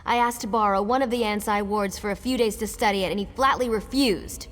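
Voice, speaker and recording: A woman's voice: mid-range voice, a little fast, a bit bright, a little hiss or hum, natural voice. A woman voicing a confident, wry scholar adventurer in a video game, with casual delivery. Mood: wry, friendly. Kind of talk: scripted game dialogue. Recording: long hall reverb. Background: game music.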